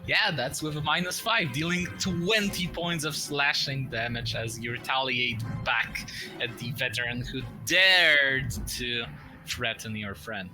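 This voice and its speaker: A man narrating combat as game master of a tabletop game, in descriptive but halting phrases. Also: mid-range voice, poor audio. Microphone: voice-chat microphone. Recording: music behind, online voice call. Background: music.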